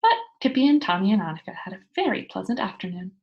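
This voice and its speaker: A woman reads a children's book aloud. Her delivery is animated and expressive, with wide movement in pitch. There is a husky, slightly rough grain in her voice. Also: quick pace, dull audio. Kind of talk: expressive read-aloud storytelling.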